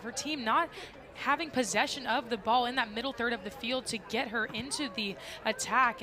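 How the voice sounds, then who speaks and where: A woman giving colour commentary and analysis during a live soccer broadcast. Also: a little high. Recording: live broadcast with crowd noise. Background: stadium crowd.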